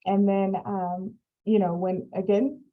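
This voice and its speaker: A woman's voice: medium pitch, flat delivery, takes it slowly. A young researcher, a woman, telling how she got into science policy on a video call panel, reflective and full of fillers. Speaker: early career researcher guest. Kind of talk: personal storytelling on a panel. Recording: dull lo-fi call audio.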